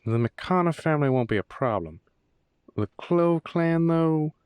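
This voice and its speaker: A man's voice: a little high, animated, measured pace, a little mellow, smooth, no echo. A man playing a brash, proud werewolf brother in an audio roleplay, with a blunt manner.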